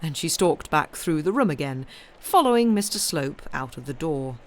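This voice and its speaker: A woman reading literary fiction aloud as an expressive audiobook narrator. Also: low voice, normal speed. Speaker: audiobook reader.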